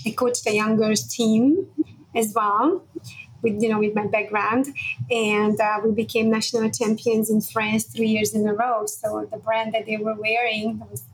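A woman's voice: mid-range voice, a little hiss or hum, poor audio. A woman in her forties from Hungary, telling her story as a guest in an interview, with many fillers. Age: 40s. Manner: brisk, many "you know" and "um" fillers. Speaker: guest, fashion entrepreneur.

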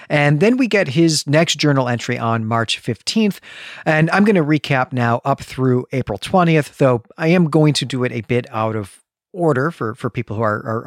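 A man co-hosting a podcast, explaining and recapping fiction conversationally in measured phrases on a close microphone. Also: medium pitch, dry recording. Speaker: podcast co-host. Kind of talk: literary discussion and recap, conversational.

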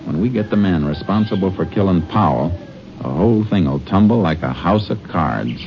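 An American actor playing a steady, determined Texas Ranger in a 1950s radio drama, plain-spoken and folksy as he talks and narrates. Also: low-pitched, normal speed, over a noisy crowd. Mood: firm. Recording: dull old 1950 radio recording, music behind.

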